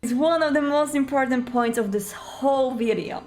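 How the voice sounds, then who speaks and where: A woman's voice: sits high, moderate pace, a little mellow, faint music behind. A woman presenting tips in an explanatory video style, illustrating them with personal examples.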